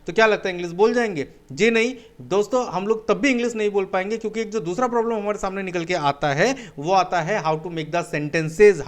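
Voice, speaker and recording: A man with an Indian accent teaching a spoken English webinar. He mixes Hindi and English, asks rhetorical questions and answers them himself, and keeps saying "my dear friend.". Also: high voice. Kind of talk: webinar lesson.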